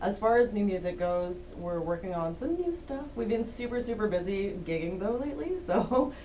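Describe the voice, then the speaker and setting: A woman's voice: mid-range voice, lively intonation, normal speed, mellow, recorded from a distance, a little hiss or hum, rough recording. A woman, the band's singer, answering interview questions casually with hesitant fillers and little laughs. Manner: giggly, hesitant, many fillers. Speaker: band singer, guest. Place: college radio studio.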